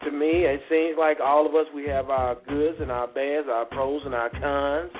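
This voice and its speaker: A man's voice: on the high side, steady tone, moderate pace. A man hosting a casual internet talk radio show, laid-back and slangy, joking with his co-hosts. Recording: tinny phone-line internet radio with music.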